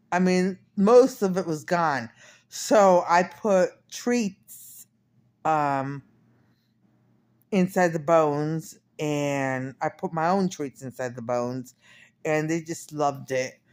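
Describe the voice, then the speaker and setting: A woman's voice: lowish voice, clean tone. A woman in her fifties chatting casually in a rambling personal diary style, often repeating and correcting herself. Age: 50s. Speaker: personal vlogger.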